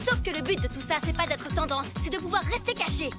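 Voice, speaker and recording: Bossy high-pitched little girl leader. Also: animated, quick pace. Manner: commanding, drill-sergeant barking. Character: bossy young girl gang leader, zealous and demanding. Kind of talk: animated cartoon dub acting. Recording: loud music behind the dialogue.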